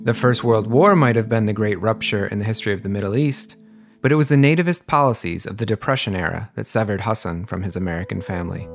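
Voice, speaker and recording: A man hosting a history podcast, reading a scripted narration in a measured, even manner. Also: lowish voice, gravelly, music in the background. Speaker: podcast host.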